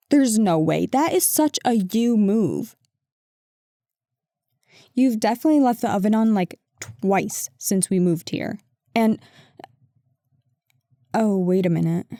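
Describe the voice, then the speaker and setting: A woman's voice: a little high, warm tone, no echo, clean studio sound. A woman playing a defensive but affectionate girlfriend in an intimate audio roleplay, conversational and sarcastic, talking to a partner who is not heard. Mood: teasing, annoyed, then accusing, then sheepish. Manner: conversational, exasperated, sarcastic, addressing an unheard partner.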